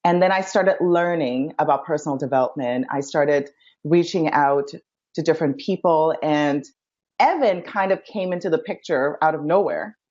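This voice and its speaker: A woman from India talks as a guest on a casual interview show, telling her story with upbeat enthusiasm. Her voice sits fairly low, and the sound is dull and lo-fi. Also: moderate pace, a little mellow. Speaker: guest, life coach.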